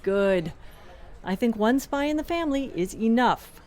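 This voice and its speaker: A woman's voice: on the high side, expressive, a little slow, a little mellow. A woman playing a stern but loving middle-aged mother and principal in a comedic audio drama, firm and motherly. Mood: exasperated, wistful.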